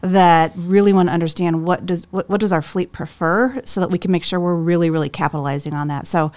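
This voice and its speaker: A woman with a fairly low voice talks as a guest on a casual podcast. She sounds upbeat and appreciative, with a few ums along the way. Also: steady tone, fairly quick, darkish tone. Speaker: human resources leader, podcast guest.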